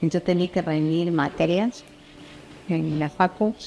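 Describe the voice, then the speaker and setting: A middle-aged woman with an Argentine accent, a mother fondly recalling her daughter's childhood in lively anecdotes. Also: on the low side, a little slow, poor audio. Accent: Argentine Spanish. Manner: animated anecdote-telling, acting out quotes. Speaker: painter's mother. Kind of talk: casual family reminiscing.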